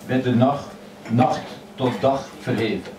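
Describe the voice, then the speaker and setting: A man reading his poems aloud in a measured, expressive way at a microphone in a crowded old café. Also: mid-range voice, far from the mic, noisy background. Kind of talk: poetry reading. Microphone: stand mic, filmed from across the room. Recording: echoey café filmed from afar, loud chatter.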